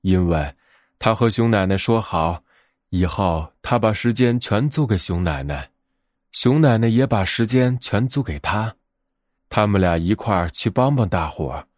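Animated male bedtime storyteller, dry sound. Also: lowish voice, unhurried, no echo. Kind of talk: scripted children's fairy-tale narration.

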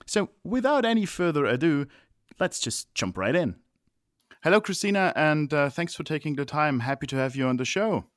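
A man's voice: a little high, animated, moderate pace, no echo, clean studio sound. Casual middle-aged male interview host. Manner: hesitant, restarts questions with fillers. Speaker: podcast host.

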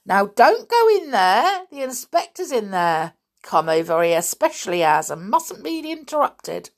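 A woman's voice: on the high side, very animated, a little fast, voice pushed up. A woman voicing a bluff, teasing male policeman in a children's story. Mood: shocked, warning.

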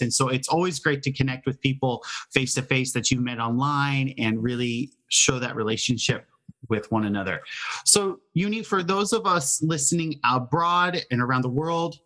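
A man speaking as the host of a podcast, addressing his listeners in clear, organised phrases. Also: mid-range voice, bright, forceful tone. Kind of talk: conversational podcast hosting.

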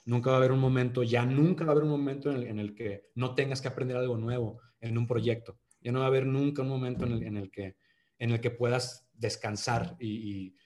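A man's voice: medium pitch, steady tone, fairly quick, darkish tone. Regio guy rambling in an interview. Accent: northern Mexican (Monterrey). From Monterrey, Mexico. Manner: many restarts and fillers, earnest then joking. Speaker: actor, podcast guest. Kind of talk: casual interview chat.

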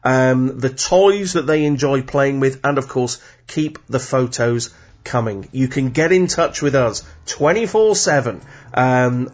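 A man with a cold talks loosely and chattily, often restarting his sentences. His voice sounds husky and bunged up, at an ordinary pitch. The recording is somewhat dull and lo-fi. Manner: rambling, self-correcting, chatty. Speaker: podcast host. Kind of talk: casual chat.

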